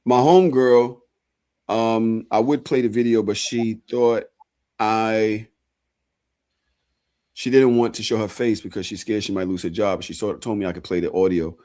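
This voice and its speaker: A podcast co-host talks casually, stopping and restarting as he searches for words. His voice sits on the low side. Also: steady tone, dark tone. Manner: halting, many fillers, restarts.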